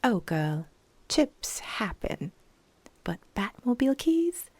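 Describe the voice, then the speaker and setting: A woman's voice: a little high, slow, dry recording, voice pushed up, full character voice. Woman doing a bubbly teasing young woman. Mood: playful. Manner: teasing, excitable, coaxing.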